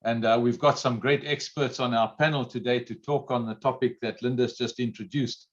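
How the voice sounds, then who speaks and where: A man's voice: mid-range voice, steady tone, normal speed. A man with a big voice moderating a panel in a semi-formal way, heard through dull online call audio.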